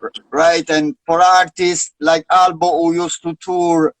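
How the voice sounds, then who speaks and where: A man's voice: fairly high pitch, little pitch movement, measured pace, no room sound, rough recording. A man from Italy, asking questions in a casual live video chat in English, with Jamaican patois phrases mixed in. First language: Italian. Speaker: guest interviewer.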